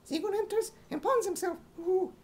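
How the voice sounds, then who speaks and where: A man's voice: very high voice, normal speed, slight background noise. A man voicing a naive, boy-like lift operator in a singsong manner and a put-on British accent, in radio comedy style. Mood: earnest, singsong. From United States. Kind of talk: 1950s radio comedy acting.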